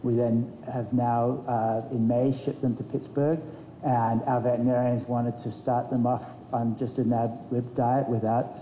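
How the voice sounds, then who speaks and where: A man answering a question on a conference panel. He explains in a measured way, with frequent fillers, and his voice is dark. The sound carries in a reverberant hall with faint background noise. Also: medium pitch, level tone.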